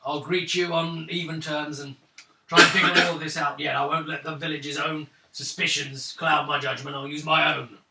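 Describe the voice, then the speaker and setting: A man's voice: a little high, flat delivery, moderate pace, sharp tone. A man voicing a wary, weary village warrior in a tabletop game, speaking formally and measuredly as he questions strangers. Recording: roomy far-off mic, faint noise.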